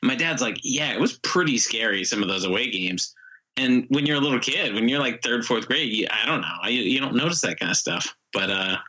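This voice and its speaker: A man co-hosting a comedy podcast in casual banter, telling stories and asking quick questions. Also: mid-range voice, lively intonation, bright, forceful tone, husky, rough recording. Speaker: podcast co-host.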